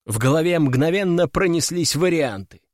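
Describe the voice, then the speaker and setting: A man's voice: fairly high pitch, lively intonation, normal speed, no echo, studio quality. A man narrating a fantasy audiobook in the first person, in a wry, ironic tone.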